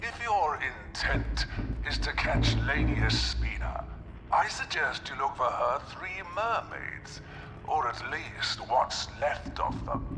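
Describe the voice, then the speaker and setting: Give me a slow, cryptic male informant. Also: medium pitch. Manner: measured, knowing. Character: cryptic, scheming male informant who watches everything. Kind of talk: dramatic video game acting. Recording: clear background noise.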